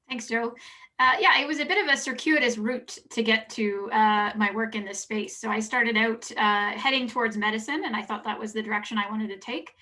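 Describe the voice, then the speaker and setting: A woman's voice: a little high, a little fast, pushed and bright, recorded from a distance. A woman in her thirties, speaking as a panelist on a video call from a home office, with some hesitant fillers. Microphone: video call, sitting back from camera.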